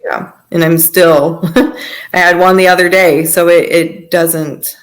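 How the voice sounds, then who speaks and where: A woman's voice: on the low side, steady tone, slow pace. A woman giving personal testimony as a podcast guest, in informal, conversational storytelling.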